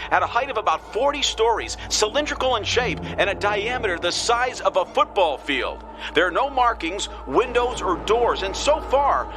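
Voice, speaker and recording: An actor playing an uneasy field reporter giving a live news report in a radio drama over loud outdoor noise. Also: male voice, sits high. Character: male field TV reporter, uneasy, then panicked. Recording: noisy outdoor location sound.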